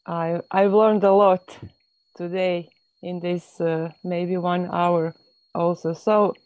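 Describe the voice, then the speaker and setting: Croatian-accented woman, low flat voice, slow and halting, thin phone-like call audio. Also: dry recording. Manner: halting, many pauses and fillers. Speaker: webinar guest speaker. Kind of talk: video call introduction. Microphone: video call.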